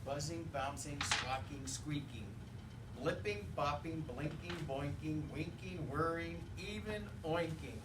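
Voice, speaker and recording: A man reading a picture book aloud to children as their teacher, in a playful, rhythmic way with pauses for them to join in. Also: fairly high pitch. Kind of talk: expressive children's read-aloud. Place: indoor classroom, recorded from a distance. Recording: distant, echoey, noisy room recording.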